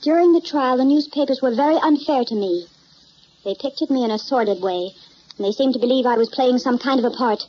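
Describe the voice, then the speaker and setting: An actress playing a poised, wealthy widow in an old crime drama, formal, composed and courteous. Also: female voice, high voice, brisk, natural voice. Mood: earnest. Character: poised, genteel, soft-mannered wealthy widow. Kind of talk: mid-century American crime drama acting. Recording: muffled, noisy old drama soundtrack.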